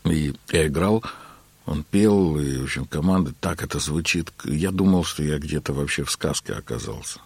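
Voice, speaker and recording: Very deep, husky-voiced male storyteller. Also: darkish tone, no echo. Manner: unhurried anecdotal telling, with many filler words. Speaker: interview guest, keyboard player. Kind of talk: storytelling in a conversational interview.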